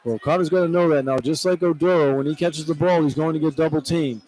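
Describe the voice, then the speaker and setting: A man's voice: on the high side, steady tone, quick pace, a little mellow, low-quality recording. An American man giving colloquial, tactical color commentary on a live radio basketball broadcast. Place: courtside at an indoor arena. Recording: clear arena noise behind broadcast commentary. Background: arena ambience.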